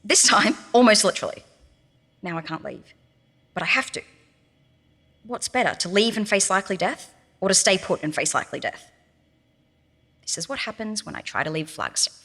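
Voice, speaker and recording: A woman's voice: mid-range voice, expressive, moderate pace, pauses often, fairly bright tone, slightly rough. Scared bitter trapped girl, sarcastic, talking to herself, super echoey, audio drama. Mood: despairing. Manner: emotional, sarcastic, muttering to herself. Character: frightened, bitter captive young woman, self-blaming. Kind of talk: audio diary monologue in fiction podcast drama. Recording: long reverberant hall echo.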